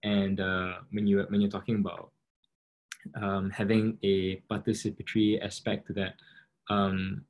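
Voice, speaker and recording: A man's voice: low voice, completely flat delivery, unhurried. A man on a video call asking a question, tentative and full of fillers. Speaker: audience member asking a question. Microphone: laptop video call.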